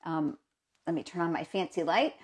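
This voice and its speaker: A woman's voice: fairly low pitch, moderate pace, slightly rough. A woman with a cold hosts a casual live stream, chatting along, greeting viewers by name, her voice a little froggy. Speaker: host of a live stamping show.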